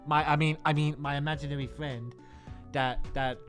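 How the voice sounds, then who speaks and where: A man with a slightly high voice chats casually as a podcast co-host. His delivery rambles and starts and stops as he works out his thoughts. Soft music plays behind him. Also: fairly even intonation, normal speed. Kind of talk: casual chat about video game films.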